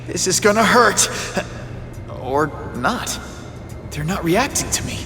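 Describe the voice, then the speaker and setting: A man's voice: high voice, very animated, slow pace, exaggerated voice. A young American man playing a wisecracking, stubbornly upbeat young superhero in video game cutscenes. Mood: wry, strained. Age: young adult. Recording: reverberant game audio under music.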